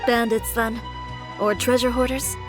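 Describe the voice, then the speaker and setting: A woman voicing a cool, protective young boutique designer in a video game, composed and assertive, with a dry, sharp tongue. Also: fairly high pitch, level tone, a little slow, close to an everyday voice. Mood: composed, probing. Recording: game music behind the voice.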